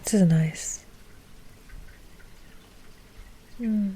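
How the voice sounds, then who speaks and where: A woman playing an anxious, tender vampire noblewoman in an ASMR roleplay, speaking softly and hesitantly with sighs. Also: mid-range voice, measured pace, a little hiss or hum, natural voice. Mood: cozy, affectionate. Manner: soft, hesitant, sighing.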